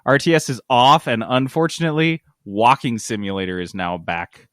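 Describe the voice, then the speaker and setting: A man's voice: medium pitch, normal speed, fairly bright tone, dry recording, studio quality. Casual, irreverent man hosting a gaming podcast. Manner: profane, irreverent. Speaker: podcast host.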